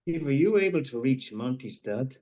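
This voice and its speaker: Man acting mellow, concerned coworker, muffled. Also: medium pitch, expressive, moderate pace, natural voice. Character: concerned male coworker asking after family. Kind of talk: live table read of screenplay.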